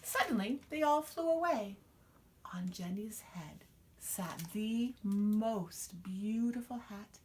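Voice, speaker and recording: Female storybook reader, expressive and lively in pitch, taking her time over the words. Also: takes it slowly, a little hiss or hum. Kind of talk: children's picture book read-aloud.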